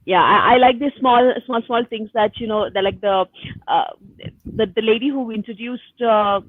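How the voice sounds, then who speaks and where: A woman's voice: on the high side, moderate pace. A woman talking casually and chattily as a panelist in a group book discussion. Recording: phone-like narrow-band call audio.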